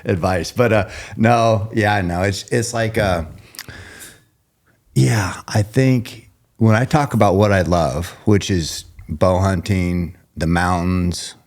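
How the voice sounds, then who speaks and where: A middle-aged man with a very deep voice hosts a relaxed podcast conversation. He rambles, breaks off his sentences and starts them over, and laughs easily. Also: slow pace. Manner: rambling, self-interrupting, laughs often. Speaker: podcast host. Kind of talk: casual interview chat.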